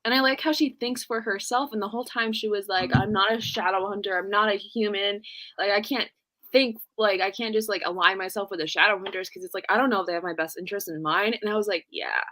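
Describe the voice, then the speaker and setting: A woman's voice: mid-range voice, fairly even intonation, quick pace, bright, forceful tone. Woman, book club co-host, casual chat, slangy, acts out quotes. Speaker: co-host of a book readalong discussion.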